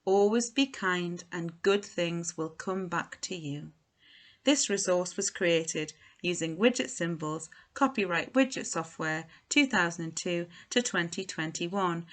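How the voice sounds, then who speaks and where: A woman reading a children's storybook as narrator, in an expressive, deliberate manner. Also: on the low side.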